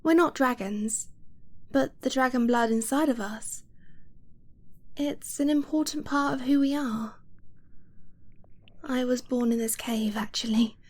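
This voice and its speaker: A woman playing an affectionate, earnest dragon-blooded girlfriend in an ASMR romance roleplay, speaking softly and haltingly, often dropping to a whisper. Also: a little high. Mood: earnest, intimate.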